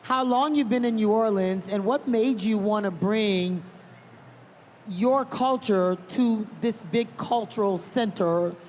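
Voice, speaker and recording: A woman originally from Liberia moderating a live panel, chatty and quick to laugh. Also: medium pitch, darkish tone, a little hiss or hum. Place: large convention hall.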